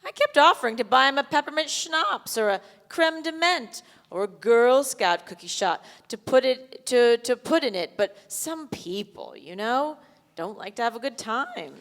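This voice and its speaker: A woman's voice: high voice, very animated, moderate pace, fairly bright tone, exaggerated voice. A woman playing a brash, crude, flirtatious bar regular in a live staged play reading, blunt and casual in manner. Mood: chatty. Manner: foul-mouthed, casual and blunt. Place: theatre stage before a live audience. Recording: reverberant theatre hall, live stage.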